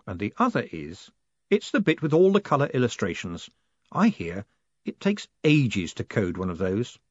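A man voicing a quick-witted young male con man with a smooth, confident delivery, in a dull, dry recording. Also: on the low side, wildly swooping pitch, no echo.

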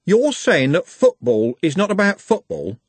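A man's voice: sits high, huge pitch swings, unhurried, breathy edge, no echo, voice pushed up, full character voice. A man voicing a blunt, cynical young working-class man in a storybook reading. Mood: sardonic. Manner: blunt, sardonic.

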